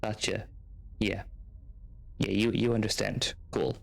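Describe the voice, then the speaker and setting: A man's voice: on the low side, level tone, takes it slowly, creaky. A man livestreaming a video game, chatting casually in an easygoing, rambling way over game music. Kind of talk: casual livestream chat, reading game text aloud.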